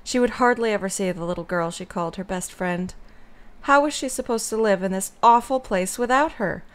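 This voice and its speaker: A woman's voice: medium pitch, a little fast, smooth. A woman narrating a scripted story as the narrator of an audio drama.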